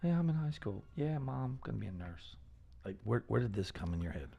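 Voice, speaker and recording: A man hosting a casual live-stream interview, enthusiastic and loose, cursing now and then as he thinks out loud. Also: fairly low pitch, sing-song, brisk, vocal fry, breathy edge. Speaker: host.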